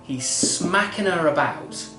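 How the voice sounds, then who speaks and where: A man's voice: fairly high pitch, animated, slow pace, roomy sound, loud music behind the voice. A man co-hosting a casual film podcast, talking wryly and quoting lines from the movie. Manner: wry, conversational, quotes film lines. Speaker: podcast co-host.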